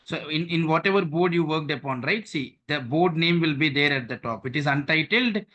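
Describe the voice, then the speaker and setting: A man's voice: on the high side, moderate pace, pushed and bright, lo-fi sound. A man leading an online training session, walking listeners through on-screen steps and often checking in with "okay" and "right".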